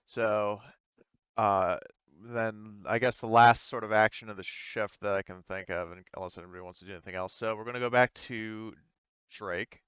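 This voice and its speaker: A man with a low, smooth voice narrates a tabletop roleplaying session as game master. He talks casually and haltingly, feeling for the next word. The sound is close and dry, a little muffled. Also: fairly even intonation, a bit bright, no room sound. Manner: halting, searching for words.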